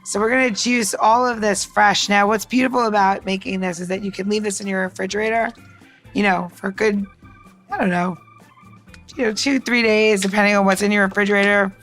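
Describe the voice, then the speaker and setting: A woman gives a casual drink-making demonstration over music. She is chatty and rambles along, dropping in playful asides as she goes. Her pitch sits in an ordinary middle range. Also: steady tone, fairly quick, a bit bright. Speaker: co-host and bartender. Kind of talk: casual cocktail recipe demonstration.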